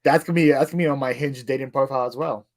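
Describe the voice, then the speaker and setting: A man's voice: medium pitch, steady tone, very fast. A man from Ukraine with a slight Ukrainian and northeastern American accent, chatting casually as a podcast guest, laughing often and repeating his words. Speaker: guest reseller.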